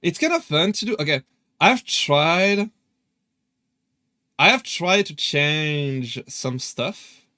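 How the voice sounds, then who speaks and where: A man's voice: fairly high pitch, big pitch swings, slow pace, pauses often, sharp tone, no echo, studio quality. A young man with a French accent chatting casually on a live stream, hesitant with many fillers and restarts. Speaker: VTuber streamer.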